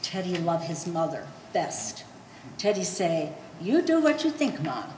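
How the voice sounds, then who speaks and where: In a reverberant hall with faint noise, a woman acts out an old immigrant mother in a stage play. She is shrewd and quarrelsome, arguing in blunt broken English with a lively, swooping delivery. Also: on the low side, unhurried. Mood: insistent. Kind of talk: live stage play acting. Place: art gallery stage reading.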